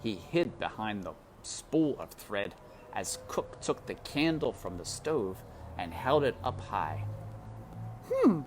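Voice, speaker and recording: A woman narrating a children's novel aloud with expressive, deliberate, dramatic phrasing. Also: extremely low pitch, faint music behind.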